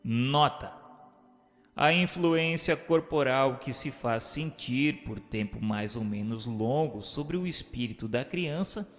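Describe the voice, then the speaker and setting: A man reading scripted questions and notes as a narrator, in a formal, declamatory and deliberate way. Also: medium pitch, a bit bright. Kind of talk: scripted narration of a spiritist book. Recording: long hall reverb, music bed.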